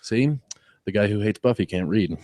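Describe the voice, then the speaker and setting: A man's voice: lowish voice, normal speed, dark tone, dry recording. A man co-hosting a casual podcast, a bit hesitant and prone to repeating himself, close on the mic. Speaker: podcast co-host. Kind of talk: casual chat. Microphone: close studio microphone.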